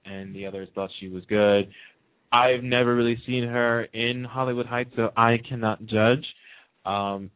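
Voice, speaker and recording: A man's voice: medium pitch, steady tone, normal speed, clear, resonant tone, no room sound. A man hosting a radio show, chatting casually and giving his opinions on television. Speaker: radio talk show host. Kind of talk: casual panel chat about TV soaps. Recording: muffled phone-like radio line.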